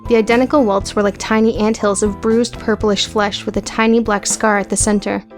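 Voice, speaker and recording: A woman's voice: mid-range voice, flat delivery, a little fast, faint music behind. A woman reading scripted, dramatic audiobook narration.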